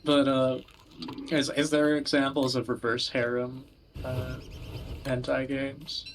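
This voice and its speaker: Male co-host, average pitch, casual but measured and hesitant, slight background noise. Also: little pitch movement, poor audio. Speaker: podcast co-host. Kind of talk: casual chat.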